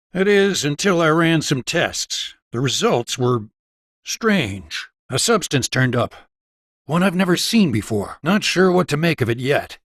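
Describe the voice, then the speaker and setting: Folksy Southern doctor with lively pitch. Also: male voice, dry recording, clean studio sound, exaggerated voice. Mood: pensive, serious. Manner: folksy, easygoing drawl with wry humour. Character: folksy, wry small-town doctor and researcher. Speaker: video game voice actor. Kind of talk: character dialogue in a video game.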